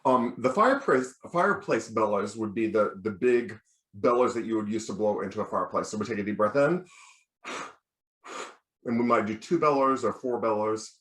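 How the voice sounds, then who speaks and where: An American man, a bass, teaching as a choir director in an encouraging, step-by-step instructional style with practical examples. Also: normal speed, a bit bright. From United States. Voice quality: bass singing voice. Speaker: children's choir director giving a lecture. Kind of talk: instructional lecture with demonstrations. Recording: roomy, echoing sound.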